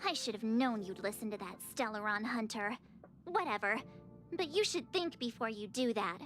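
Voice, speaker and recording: Woman playing a bright, high-voiced, peppy anime game girl. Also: normal speed, soft background music, natural voice. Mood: annoyed, sulky. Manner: brash, emphatic, indignant. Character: peppy, hot-headed young girl crew member. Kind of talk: anime-style video game voice acting.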